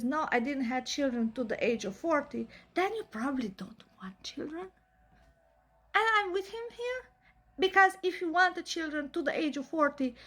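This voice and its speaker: A young woman with an Eastern European accent delivers a blunt, opinionated monologue. Her voice sits high, and she leans hard on emphasis and rhetorical questions. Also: fairly bright tone. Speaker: vlogger.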